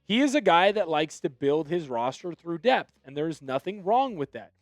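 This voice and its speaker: A young man hosting a sports news commentary, talking with firm opinions in a fairly high voice. His delivery swings into animated excitement at times. The sound is dry and close, with no room echo. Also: normal speed. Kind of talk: solo sports commentary video.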